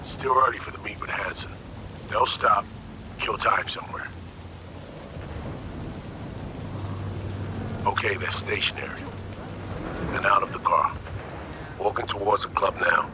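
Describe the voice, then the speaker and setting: An actor playing a composed, watchful veteran spy handler in a video game, giving measured, businesslike instructions. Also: male voice, fairly low pitch, noisy background, natural voice. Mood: focused, directing. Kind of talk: cinematic video game acting.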